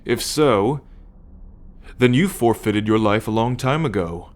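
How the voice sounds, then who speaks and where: A man playing a proud, possessive alien starship captain in an ASMR roleplay, speaking in a measured, formal, commanding way to the listener. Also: fairly low pitch, natural voice. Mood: stern, challenging. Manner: measured, imperious, formal lecturing.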